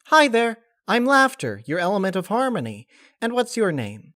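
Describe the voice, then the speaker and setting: Guy doing a super bubbly girly pony spirit for a story, high voice, carefree n gushy. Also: wildly swooping pitch, moderate pace, pushed and bright, dry recording, clean studio sound, full character voice. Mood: excited, cheery. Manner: perky, gushing, childlike phrasing. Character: bubbly, hyperactive young female pony element spirit, carefree.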